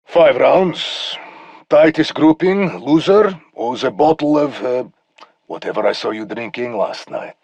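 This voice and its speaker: A brooding ex-special forces operative for a game. The voice is husky and gravelly, swinging widely in pitch with wry weariness, recorded very dry. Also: male voice, expressive, unhurried, a little mellow, no room sound, full character voice. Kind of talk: scripted game voice lines.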